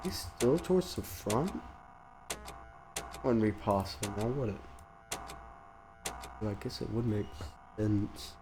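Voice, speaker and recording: A man's voice: lowish voice, lively intonation, slow, long pauses, noisy background. A young man with a cold, sounding congested, rambling nervously through a horror game on his channel. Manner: nervous rambling, panicky outbursts. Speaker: gaming youtuber. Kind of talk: let's play commentary.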